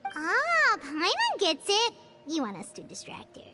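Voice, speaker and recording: A woman voicing a tiny, childlike, naive and excitable fairy companion in a video game, with a squeaky, exclaiming delivery. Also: unhurried, loud music behind the voice, exaggerated voice. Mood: eager. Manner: squeaky, exclaiming, refers to herself by name.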